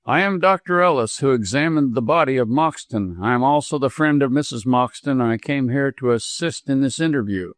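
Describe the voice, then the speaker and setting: A man's voice: medium pitch, lively intonation, a little fast, hardly any pauses, no room sound, clean studio sound, close to an everyday voice. A man voicing a stern, protective doctor in an audiobook story, speaking in a firm, curt, commanding way. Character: stern, protective male doctor, cool and sharp.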